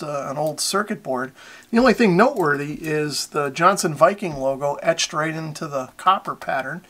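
A man narrates a hands-on tutorial. His voice sits slightly higher than most men's. He explains each step in a plainspoken, methodical way. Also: a bit bright. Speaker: host of a radio repair tutorial.

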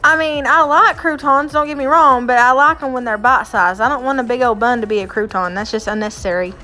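A woman's voice: fairly high pitch, fast, pushed and bright, faint music behind. A woman in her thirties with a Southern US accent, chatting in a self-mocking way in a home vlog voice-over. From Smoky Mountains area, USA. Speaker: vlogger. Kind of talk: casual voice-over chat.

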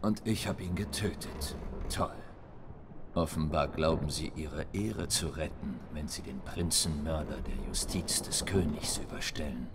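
A man voicing a gruff, grizzled male monster hunter who speaks tersely and with dry sarcasm. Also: a bass voice, measured pace, noisy background. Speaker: captive hero. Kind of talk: German game dub.

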